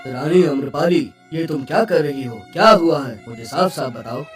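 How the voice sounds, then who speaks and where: A woman's voice: low voice, normal speed, natural voice. A woman voicing an anguished king and doting father in a dramatic, emotive cartoon story style. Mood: alarmed, demanding. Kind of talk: Hindi cartoon story dialogue. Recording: slightly distant, faint music.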